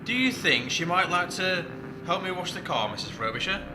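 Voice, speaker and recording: A man's voice: high-pitched, lively intonation, moderate pace, noisy background. A man playing a flirtatious, wheedling British servant in a comic 1940s radio play, with colloquial speech. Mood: agreeable, coaxing. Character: cheeky, amorous wartime chauffeur-servant, coaxing and wheedling.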